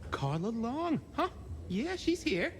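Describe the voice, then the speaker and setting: Swooping, theatrical, eccentric game archivist. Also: male voice, extremely high pitch, sing-song, slow, slight background noise, heavily acted. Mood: playful, intrigued. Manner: theatrical, suggestive, playful. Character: eccentric, eager male archivist informant who revels in records. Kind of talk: video game voice acting.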